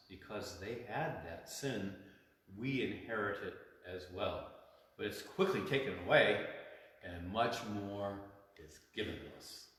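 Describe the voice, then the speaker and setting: A man's voice: lowish voice, a bit bright. Echoey man teaching children in a church. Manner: simple explanations with frequent checking questions and repetition. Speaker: priest hosting a children's church storytime. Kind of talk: informal religious teaching for children. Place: inside a large church. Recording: very echoey church, long reverb, faint noise.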